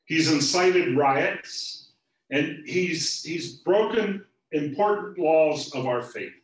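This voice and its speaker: A man voicing a group of angry chief priests, loud and insistent as they press their charges. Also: on the high side, takes it slowly, very echoey, far from the mic, pitched above the performer's own voice, poor audio. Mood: indignant. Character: accusing chief priests, angry older men.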